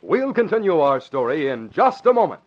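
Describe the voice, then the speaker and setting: A man's voice: on the high side, very animated, moderate pace, over music. A man working as a radio announcer in a scripted 1940s style, enthusiastic and emphatic. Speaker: announcer and narrator. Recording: tinny, lo-fi old radio recording.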